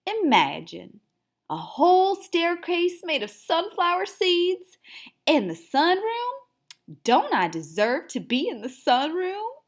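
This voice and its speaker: A woman voicing a cocky, excitable male pet hamster telling his diary in a children's storybook, with emphatic, theatrical delivery. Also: very high voice, slow, pitched above the performer's own voice, full character voice. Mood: restless, longing. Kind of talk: first-person children's storybook read-aloud.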